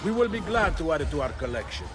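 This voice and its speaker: A serene, courteous mystic follower in a game drama. His male voice is a little high, and music plays clearly behind it. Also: big pitch swings, fast. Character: courteous, serene mystic disciple speaking of harmony. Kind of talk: dramatic video game acting.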